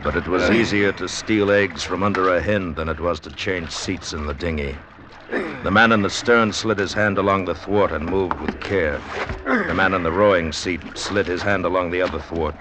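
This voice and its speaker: Deep, solemn radio narrator played by a man. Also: noisy background, lightly acted. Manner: solemn, literary, measured delivery. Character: grave storyteller of a sea tale. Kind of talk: mid-century radio drama narration.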